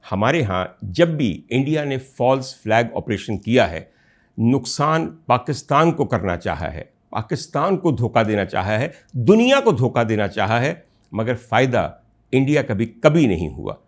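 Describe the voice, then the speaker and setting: A man with a Pakistani Urdu accent hosting a political commentary show, delivering a rhetorical monologue to his audience that builds to loud indignant outbursts. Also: medium pitch, very animated, normal speed. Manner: addresses listeners as ladies and gentlemen.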